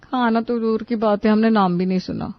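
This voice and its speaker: An exasperated complainer, played by a woman grumbles bluntly and dramatically in a high voice. The comic family tale has a dry, slightly dull recording. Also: fairly even intonation, normal speed, dry recording, close to an everyday voice. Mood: appalled.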